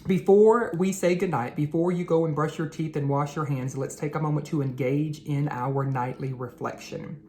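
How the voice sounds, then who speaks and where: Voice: medium pitch, fairly even intonation, normal speed, hardly any pauses, dark tone, slight background noise. A read-aloud host narrating storybooks and talking to children in an encouraging, teacherly way.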